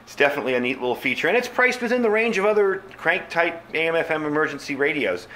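A man's voice: fairly high pitch, animated, quick pace, pushed and bright. A man giving a casual, unscripted product review as a channel host, chatting informally to his viewers. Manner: informal, conversational, addresses viewers as folks.

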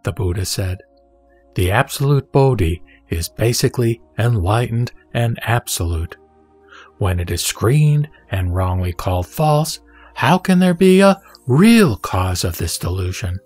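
Measured man reading scripture over music. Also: lowish voice, expressive, darkish tone, slightly rough, no room sound. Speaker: narrator and reader of scripture. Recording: background music behind the voice.

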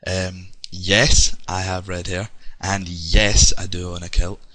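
A man's voice: sits low, little pitch movement, takes it slowly, bright, forceful tone, no room sound. A young Scottish man chatting casually with lots of ums while narrating his gameplay. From Scotland. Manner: rambling, chatty, many ums. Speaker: let's play gamer. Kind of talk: casual game commentary.

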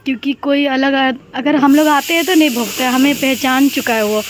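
A woman's voice: high-pitched, fairly even intonation, a little fast. A woman chats casually and ramblingly into a phone at home. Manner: chatty, rambling. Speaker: housewife vlogger. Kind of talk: casual chat to camera. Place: small home rooms, kitchen and balcony. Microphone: phone at arm's length. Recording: clear background noise, household ambience.

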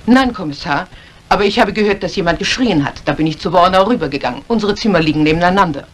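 An actress playing a dutiful housekeeper answering questions in a 1950s German radio crime drama. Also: female voice, lowish voice, animated, quick pace, lightly acted. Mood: nervous, dutiful. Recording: old radio play recording, faint hiss.

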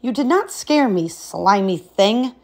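A woman's voice: medium pitch, animated, slow, a little mellow. A woman voicing a spoiled, haughty young princess in a storybook, with a petulant, emphatic manner. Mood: defiant.

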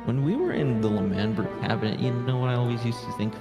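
A man's voice: medium pitch, quick pace, music in the background. A man speaking in character in a dramatic game roleplay.

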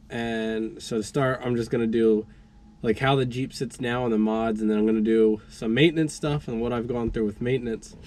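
A man's voice: mid-range voice, a little mellow. A young man with a stuffy cold, casually walking through his vehicle build as a vlogger, with lots of ums and likes. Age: young adult. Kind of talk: casual vlog walkthrough. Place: inside a parked vehicle, then outdoors beside it.

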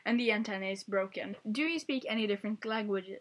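A woman's voice: mid-range voice, fast. A young woman whose first language is Swedish, chatting playfully in her bedroom into a webcam and laughing often. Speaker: vlogger. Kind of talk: casual tag video chat. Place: small bedroom, indoors. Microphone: webcam at desk.

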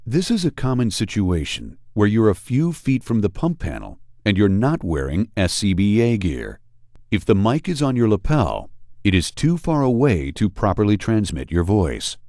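Male narrator for instructional video: low, mellow, dramatically varied intonation, deliberate and emphatic, dry studio sound. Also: no room sound. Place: studio voice-over.

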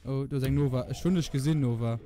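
A man who is a native Luxembourgish speaker, giving casual, excitable live gameplay commentary and repeating words in urgent bursts, with swearing. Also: mid-range voice, fast talker. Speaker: gamer recording a let's play. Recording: noisy home recording with game sound. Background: video game sound.

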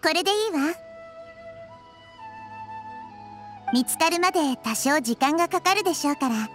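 A woman playing a wise, kindly childlike girl deity in an anime-style game, speaking in a calm, reassuring, articulate way. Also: high-pitched, fast talker, faint music behind. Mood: composed.

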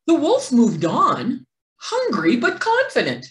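A middle-aged woman reading a children's story aloud, theatrical and deliberate, stressing key words. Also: high voice, huge pitch swings. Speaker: children's read-aloud presenter. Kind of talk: expressive picture-book narration. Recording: roomy indoor echo.

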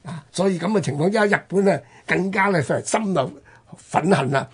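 A man with a Hong Kong Cantonese accent and a husky voice, telling history conversationally as a radio host, emphatically drawing out the ends of his phrases. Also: sits high. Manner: emphatic storyteller with drawn-out phrase-ending particles.

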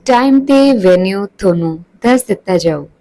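A woman's voice: mid-range voice, animated, moderate pace, warm tone, lightly acted, poor audio. Woman playing a breezy, trendy college girl. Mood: announcing brightly. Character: outgoing, trendy young female college student.

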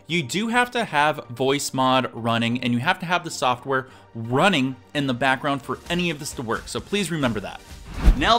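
An energetic man in his thirties presenting an upbeat scripted tech tutorial, addressing viewers directly. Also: on the high side. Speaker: video host. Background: music.

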